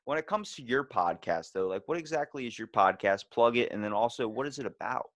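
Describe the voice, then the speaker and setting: A man's voice: mid-range voice, expressive, brisk, a bit bright, no echo, studio quality. A man hosting a casual interview podcast, telling rambling, crudely joking anecdotes. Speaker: podcast host.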